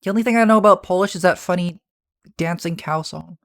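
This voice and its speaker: A man with a soft, feminine-sounding voice, chatting casually on a livestream and answering viewer messages in a rambling, soft-spoken way. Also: high-pitched, fast talker. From Canada. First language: English. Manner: soft-spoken, rambling, with restarts and small laughs. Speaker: streamer.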